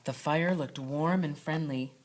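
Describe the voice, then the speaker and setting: A woman reading a children's storybook aloud like a schoolteacher, with expressive, dramatic narration and deliberate phrasing. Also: deep voice, a little hiss or hum. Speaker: second grade teacher reading aloud.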